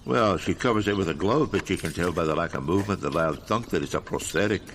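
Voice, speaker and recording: A man's voice: low-pitched, fast talker, fairly bright tone, slight background noise. An actor playing a dull-witted, gossipy male garden labourer who mutters his questions in a comedy audio drama. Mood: curious, muttering.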